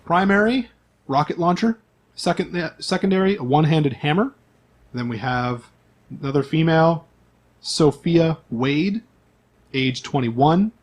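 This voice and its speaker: A man's voice: fairly high pitch, lively intonation, measured pace, pauses often. A man acting as game master, reading out character profiles in an informal, list-like way with asides.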